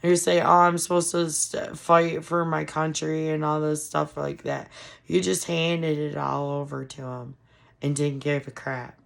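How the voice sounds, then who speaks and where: A woman giving casual, frustrated political commentary on her vlog, hoarse from losing her voice. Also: low-pitched, flat delivery, unhurried. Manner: frustrated, ranting. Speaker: commentary vlogger.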